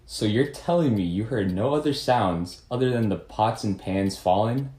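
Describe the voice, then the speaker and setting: A man's voice: lowish voice, moderate pace, dark tone, natural voice. Man as skeptical, echoey young-sleuth type. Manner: pointed, skeptical. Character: suspicious, confrontational male amateur detective. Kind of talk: amateur puppet-show murder mystery.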